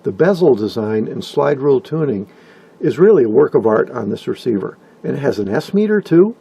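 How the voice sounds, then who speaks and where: An older man narrating informally from a script, with a husky, gravelly voice and wry, folksy asides. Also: mid-range voice, very animated, fairly quick, warm tone. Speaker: hobbyist video narrator. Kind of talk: informal scripted narration about vintage radios.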